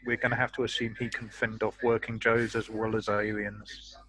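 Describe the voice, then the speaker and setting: A man's voice: on the low side, flat delivery, moderate pace, a bit bright, a little hiss or hum, rough recording. A man chatting casually as a player in a tabletop roleplaying game.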